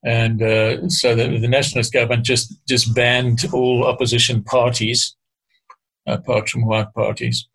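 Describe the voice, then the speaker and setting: An older man speaks in a gravelly, creaky voice that stays flat and level in pitch. He explains things in a measured, hesitant way, often pausing and starting phrases again, as a guest being interviewed. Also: rough recording. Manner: hesitant, measured, frequent restarts. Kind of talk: explaining history in conversation.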